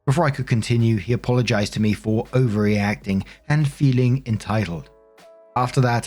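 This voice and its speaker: A man narrates in an informal, conversational way, like a host talking straight to his audience. His voice sits at a medium pitch, with music playing underneath. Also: darkish tone, slightly rough. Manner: informal, direct address to listeners. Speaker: narrator and host of online story videos. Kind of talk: conversational narration of online relationship posts with commentary. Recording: music behind the voice. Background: background music.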